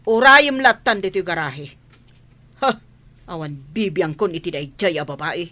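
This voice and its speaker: A woman's voice: fairly low pitch, lively intonation, normal speed, pushed and bright. A woman with an Ilocano accent playing an imperious, scolding rich madam who bosses her servants in a radio drama. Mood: curt, bossy. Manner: haughty, commanding, scolding.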